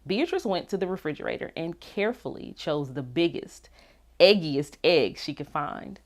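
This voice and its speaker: A woman reading a children's storybook aloud in an expressive, animated way with dramatic pauses. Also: deep voice. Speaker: read-aloud narrator.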